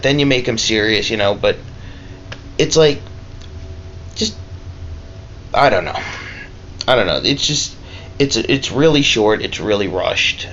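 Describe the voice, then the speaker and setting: A man giving a casual, unscripted review, rambling and halting, with emphatic points and swearing. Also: medium pitch, fairly bright tone, muffled recording, a little hiss or hum. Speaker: amateur movie reviewer.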